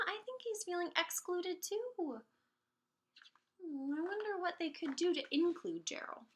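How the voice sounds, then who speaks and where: A woman's voice: very high voice, fairly bright tone. A woman teacher reading a picture book aloud to young children, expressively drawing out words and prompting them with questions. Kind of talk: interactive children's storybook read-aloud.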